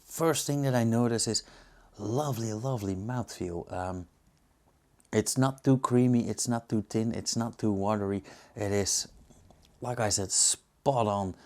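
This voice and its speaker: Male reviewer, Belgian accent, lowish pitch, informal and unscripted, hesitant and reflective delivery. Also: measured pace, darkish tone. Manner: hesitant, musing, searching for words. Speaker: beer reviewer and host. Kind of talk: casual unscripted tasting commentary.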